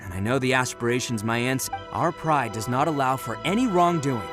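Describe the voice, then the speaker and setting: A man's voice: mid-range voice, expressive, brisk, music in the background, close to an everyday voice. A man voicing a brash, boastful but honorable young oni gang leader in a video game, with a cocky, blustering manner and casual slang. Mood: earnest, proud. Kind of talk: animated video game voice acting.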